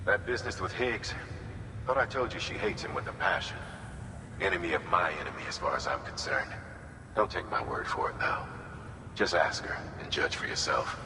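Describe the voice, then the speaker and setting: A very low male voice rings with long reverb over clear noise. An actor plays a steady, authoritative commander, measured and businesslike, giving briefings in a game cutscene. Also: natural voice. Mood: reassuring, firm.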